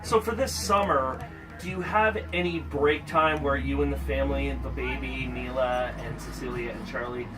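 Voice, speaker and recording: A man's voice: mid-range voice, normal speed, music in the background. A man working as a radio interviewer, asking questions in a casual, chatty style. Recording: distant mic, clear crowd noise.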